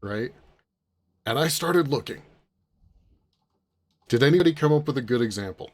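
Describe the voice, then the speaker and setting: A man hosting a panel podcast in a casual, conversational way, often pausing to find his words. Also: medium pitch, expressive. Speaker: podcast host leading a panel.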